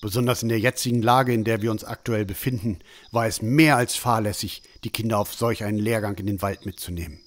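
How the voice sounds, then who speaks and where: A man's voice: on the low side, fairly even intonation, a little fast, hardly any pauses, lightly acted. Man playing a scolding, worried village father. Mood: stern. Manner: scolding, indignant. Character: angry, worried village father, strict and reproachful. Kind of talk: fantasy audio drama acting.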